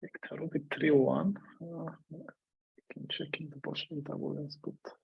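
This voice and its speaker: A man's voice: fairly high pitch, flat delivery, a little slow, gravelly, breathy edge, dry recording. A man giving brief, tentative replies in a casual technical discussion on an online call. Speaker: software engineer in a working group call. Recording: dull, lo-fi call audio.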